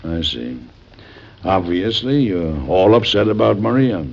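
Gravelly, stern President and father. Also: deep voice, big pitch swings, unhurried, exaggerated voice. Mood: subdued. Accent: American. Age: middle-aged. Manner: emphatic, formal, authoritative. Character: stern, protective President and father, hot-tempered but loving. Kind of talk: old-time radio drama acting. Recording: muffled old radio recording.

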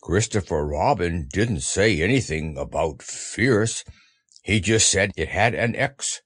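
A good-natured storybook bear with little brain. The voice is deep and unhurried, rising and falling freely as it fumbles earnestly for words. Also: male voice, animated, breathy edge, no echo, clean studio sound, heavily acted. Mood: excited, vague. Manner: bumbling, hesitant, good-natured. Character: friendly, slow-witted, earnest male toy bear.

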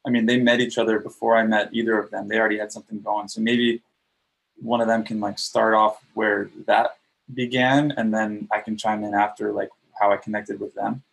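A relaxed man thinks aloud in a band interview. His low voice is gravelly and husky, with plenty of fry. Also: fairly quick, low-quality recording. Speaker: band member guest. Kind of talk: casual interview chat.

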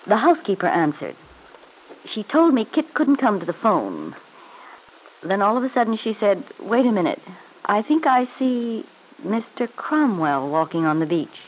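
An actress playing a sly, spiteful middle-aged mother-in-law in an old radio serial, insinuating and quick to justify herself. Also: medium pitch, sing-song, lightly acted. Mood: insinuating, confiding. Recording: noisy old radio broadcast recording.

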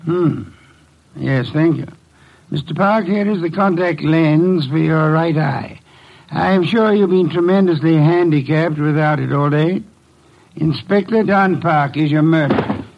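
An actor playing a commanding, fussy older genius detective with formal, precise diction, in old-time radio mystery style. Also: male voice, fairly high pitch, measured pace, vocal fry. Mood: grave, declarative. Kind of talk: 1940s-50s radio mystery acting. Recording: dull old radio recording.

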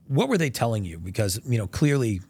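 A man hosting a podcast interview, casual and energetic, pressing home facts with emphasis. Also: mid-range voice, fairly quick, husky, dry recording. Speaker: podcast host. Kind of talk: conversational interview.

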